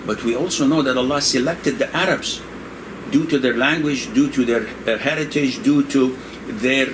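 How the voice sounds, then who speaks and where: A man's voice: mid-range voice, moderate pace. Older man scholar, joking, noisy room. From Indonesia. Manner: digressive, joking, laughs often. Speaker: Islamic scholar answering questions. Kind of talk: conversational religious discussion. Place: room in Saudi Arabia. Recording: noisy room with air conditioning hum.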